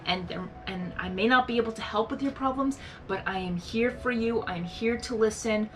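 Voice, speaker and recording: A young woman hosting a reaction video, talking in a rambling, earnest, soft-spoken way with many fillers and restarts. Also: a little high, normal speed, soft background music. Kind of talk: casual reflective monologue.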